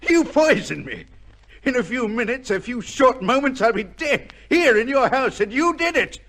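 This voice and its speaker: An actor playing a cold, ruthless, vengeful son with a theatrical, taunting manner in an old-time radio drama. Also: male voice, very high-pitched, very animated, moderate pace, a bit bright, full character voice. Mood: gloating. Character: bitter, vengeful illegitimate son, cold, ruthless, with heart trouble.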